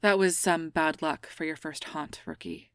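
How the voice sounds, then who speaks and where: A woman's voice: sits low, measured pace, fairly bright tone, smooth, dry recording. A woman playing the stern, exacting boss of a ghost-hunting team in an audio roleplay, dry and authoritative. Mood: stern, composed. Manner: authoritative, dry, clipped orders.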